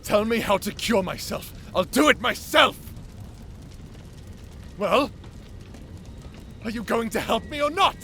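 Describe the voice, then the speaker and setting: A man playing a proud, tormented captor under a curse in a dark fantasy audio roleplay, with a theatrical, anguished and imperious delivery. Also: very high voice, expressive, slow, pauses often, slight background noise, exaggerated voice. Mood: wary, demanding.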